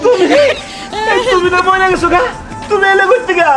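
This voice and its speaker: An actor voicing a startled, excitable family member in a comedy cartoon, with a Bangladeshi accent. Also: extremely high pitch, moderate pace. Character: startled, excitable relative greeting their dead mother. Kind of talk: colloquial Bengali comedy cartoon dialogue. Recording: music and crowd sound behind.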